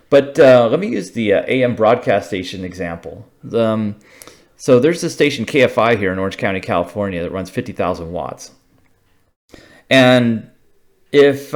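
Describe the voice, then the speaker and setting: An American man giving an informal, unscripted technical explanation, thinking aloud haltingly and checking in with short tags. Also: lowish voice. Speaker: radio hobbyist explainer.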